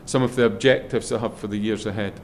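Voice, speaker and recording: A middle-aged man with a loud voice, a guest in a relaxed interview, rambling a little with frequent ums and restarts as he talks. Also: on the low side, fast, slight background noise. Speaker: guest, head of a schools group.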